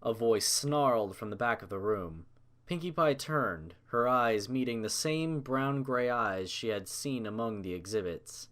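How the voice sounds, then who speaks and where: A man's voice: medium pitch, animated, unhurried, fairly bright tone. A man narrating a scripted story as an audiobook reader.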